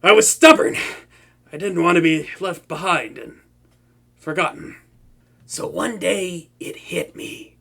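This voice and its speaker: An actor playing a shy, soft-hearted young male supervillain who stammers nervously in an audio roleplay. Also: high voice, takes it slowly, vocal fry, long pauses, heavily acted. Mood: wistful.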